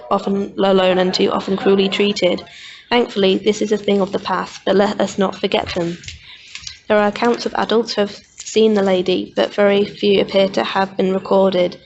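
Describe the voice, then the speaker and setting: A woman student talking through research for a radio drama project. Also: mid-range voice. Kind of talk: reading research aloud, then casual discussion. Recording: dull lo-fi recording, faint noise.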